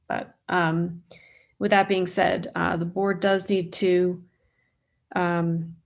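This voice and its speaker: Woman with a heavily creaky, gravelly voice. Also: fairly low pitch. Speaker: chair of a board meeting. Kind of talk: informal procedural meeting talk. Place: remote video conference call. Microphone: video call.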